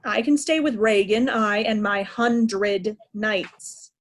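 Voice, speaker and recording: Slow, anguished old king, played by a woman. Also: mid-range voice. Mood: anguished restraint. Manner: declamatory, deliberate, anguished. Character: proud, aging king raging at ungrateful daughters. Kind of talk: Shakespearean reader's theatre acting.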